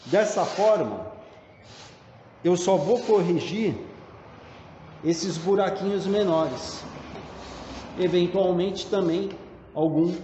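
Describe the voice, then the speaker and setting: Brazilian man, tutorial narration, deliberate explanatory delivery, mellow tone, muffled recording with background noise. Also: high voice. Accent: Brazilian Portuguese. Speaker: tradesman demonstrating door painting. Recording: dull, echoey, reverberant room with background noise.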